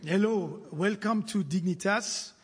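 Male panellist, high-ish voice, unhurried deadpan, live hall recording. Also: very noisy. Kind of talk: improvised comedy sketch. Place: theatre stage before audience.

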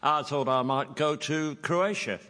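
A man's voice: a little high, measured pace. A man on a comedy panel show, playfully bantering. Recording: live hall with loud audience.

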